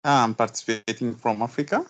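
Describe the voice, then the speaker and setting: A man's voice: mid-range voice, animated, a little fast, fairly bright tone, a little hiss or hum. A man from Ethiopia, hesitantly and politely asking a question on a video call. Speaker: webinar participant.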